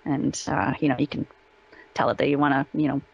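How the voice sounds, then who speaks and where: A woman chatting casually as an interview guest, telling stories in an animated, chatty way. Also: a bass voice, brisk, vocal fry, husky, dull audio. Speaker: voice actress being interviewed.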